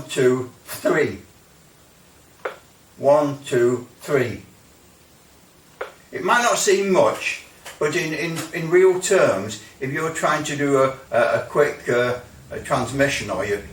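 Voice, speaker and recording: Man in a roomy, distant recording, demonstrating gear. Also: mid-range voice. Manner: rambling, hesitant, grumbling asides. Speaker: radio hobbyist demonstrating equipment. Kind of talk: casual hands-on review and demonstration. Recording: roomy, distant mic, faint noise.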